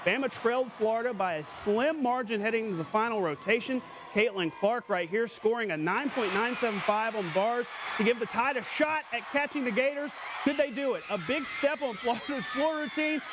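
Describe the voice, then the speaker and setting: A man anchoring a local sportscast, reading scripted highlights in punchy, clipped calls. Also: normal speed, hardly any pauses, noisy background.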